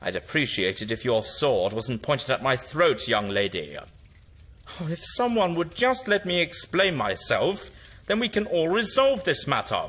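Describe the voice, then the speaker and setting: Eccentric, polite, fussy male time traveller, acted by a man. Audio drama, lively pitch, bright tone. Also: measured pace. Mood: fussy protest. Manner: courteous, fussy, protesting.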